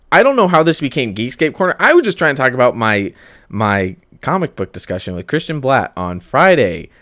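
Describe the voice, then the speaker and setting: A man with a lowish, smooth and clear voice hosts a podcast on his own. He chats casually and rambles, often breaking off his own sentences for self-aware asides. The recording is close and dry, with no room sound. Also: fairly bright tone, no echo. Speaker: podcast host. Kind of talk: casual solo podcast chat.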